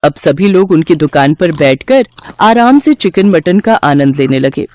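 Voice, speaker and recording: A woman's voice: deep voice, animated, unhurried, a little mellow. A woman narrating a scripted story as the storyteller.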